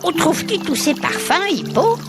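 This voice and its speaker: Woman playing a plucky little animal schoolkid, high voice, animated intonation, children's story, music behind. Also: moderate pace, full character voice. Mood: eager. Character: earnest young animal schoolchild, bullied but plucky. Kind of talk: children's audio story acting.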